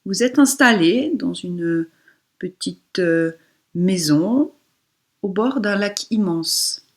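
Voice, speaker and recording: A woman yoga instructor guiding a relaxation meditation in a soft, breathy voice, giving soothing, unhurried instructions with pauses. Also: on the low side.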